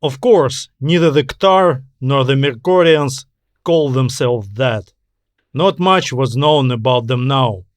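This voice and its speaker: A man's voice: mid-range voice, takes it slowly, no echo. Man narrating science fiction, dry close sound.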